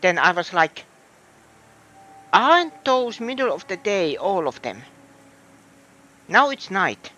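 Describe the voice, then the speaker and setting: A man's voice: high-pitched, very animated, slow pace, long pauses, bright, forceful tone. A man with a Finnish accent, thinking aloud in a hesitant way as he comments on a game. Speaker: streamer. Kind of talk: casual gameplay commentary.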